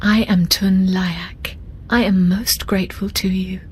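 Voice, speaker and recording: An actress playing a proud, formal woman navigator in a video game, speaking in a breathy, whispery way without contractions. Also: medium pitch, steady tone, a little slow, slight background noise, close to an everyday voice. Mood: earnest.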